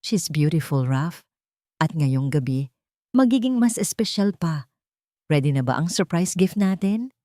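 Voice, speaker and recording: A woman's voice: sits low, expressive, a little slow, mellow, no echo, clean studio sound. A woman voicing a poised, rich fiancée in an audio drama, speaking dramatically with English phrases mixed in. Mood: poised, sweet. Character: poised rich fiancée, falsely sweet, theatrically distraught.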